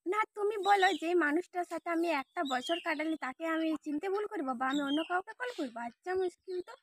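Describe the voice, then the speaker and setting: A woman's voice: very high voice, expressive, fast. A woman, nagging and scolding insistently in a casual prank phone call. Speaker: prank caller posing as the wife of the person called.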